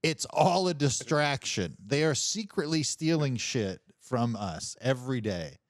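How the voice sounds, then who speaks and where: A man's voice: medium pitch, expressive, measured pace, no room sound. A man chatting casually about politics on a livestream, emphatic and exasperated, swearing often.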